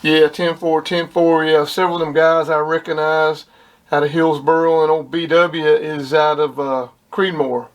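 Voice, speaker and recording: A man's voice: a little high, little pitch movement, normal speed. A man talking casually like a CB radio hobbyist, in plain-spoken radio lingo. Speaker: CB radio operator and video host. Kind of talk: casual CB radio chat and commentary. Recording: clearly noisy, with radio hiss and clicks. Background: radio noise and clicks.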